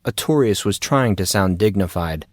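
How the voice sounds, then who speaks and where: A man's voice: on the low side, animated, normal speed, no room sound, studio quality. A man narrating a scripted story as the narrator of an audio drama.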